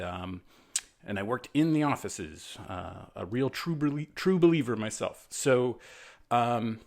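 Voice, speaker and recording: An American man, a writer reading his own children's story aloud in a casual, self-effacing way with chatty explanatory asides. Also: lowish voice.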